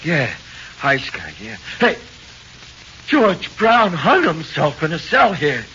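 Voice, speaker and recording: High, jittery prisoner, old radio. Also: male voice, very animated, bright, forceful tone, exaggerated voice. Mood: fearful, questioning. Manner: nervous, halting slangy talk. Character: jittery, frightened small-time killer in a jail cell. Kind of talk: 1940s radio horror acting. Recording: muffled hissy 1940s radio recording.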